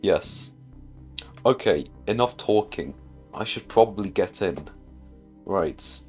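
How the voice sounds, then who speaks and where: A man's voice: sits low, lively intonation, takes it slowly, darkish tone, husky, soft background music. A man giving casual gameplay commentary as a video host, talking to himself and asking himself questions as he plays.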